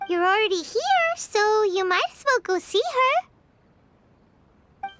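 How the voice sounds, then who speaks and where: A woman voicing a small, chirpy female fairy companion in a game, chatty and expressive, with a high squeaky voice. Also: takes it slowly, slight background noise, full character voice. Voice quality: high squeaky voice, speaks of herself in third person.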